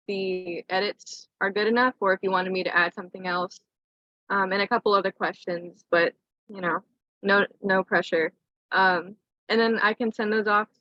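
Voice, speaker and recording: A woman's voice: medium pitch, bright, forceful tone, dry recording. Woman on a dull conference line. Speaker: team member giving an update. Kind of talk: casual meeting update. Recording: dull, lo-fi conference call audio.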